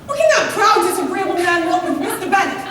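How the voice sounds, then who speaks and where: A woman's voice: very high voice, expressive, fast talker, a crowd in the background. A woman on stage playing a flustered, scornful middle-aged mother, fussy and theatrical. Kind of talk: amateur stage play acting. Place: theatre stage, recorded from audience distance. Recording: echoey hall, far mic, loud noise.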